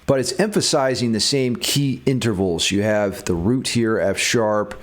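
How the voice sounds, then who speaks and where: A man's voice: fairly low pitch, a little slow. A man giving a casual music theory lesson as a guitar teacher.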